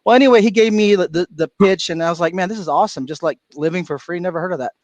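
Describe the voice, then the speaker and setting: Animated man on a dry video call. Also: high voice, rapid-fire, no room sound. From Florida, USA. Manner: animated storytelling, laughs often. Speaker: guest speaker. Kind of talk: casual livestream chat.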